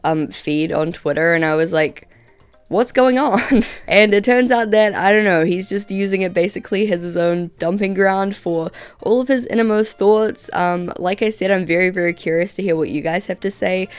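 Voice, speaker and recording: A woman's voice: mid-range voice, normal speed, smooth, faint music behind. A young woman YouTuber narrating a video essay in a wry, conversational way, just recovering from being sick, on a home mic without a pop filter. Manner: wry, conversational, with filler words in asides.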